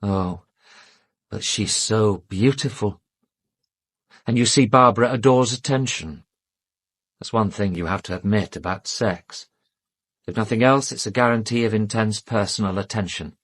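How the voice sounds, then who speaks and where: Guarded, husky-voiced English gentleman. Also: fairly low pitch, a little slow, long pauses, dry recording, clean studio sound. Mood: wry, confessional. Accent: educated English. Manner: polite, guarded, wryly self-deprecating. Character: educated, defensive English gentleman, weary secret lover. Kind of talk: British radio drama acting.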